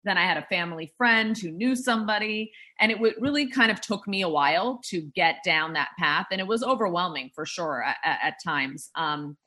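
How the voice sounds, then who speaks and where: Lady founder rambling w lots of ums, bright lowish voice. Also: animated, moderate pace, few pauses. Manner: rambling, many fillers and restarts. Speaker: beverage startup founder. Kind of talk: casual interview chat.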